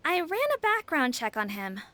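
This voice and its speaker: A woman playing a measured, thoughtful investigator in a video game, speaking deliberately. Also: sits high, sing-song, sharp tone, full character voice. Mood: pensive. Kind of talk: video game character dialogue.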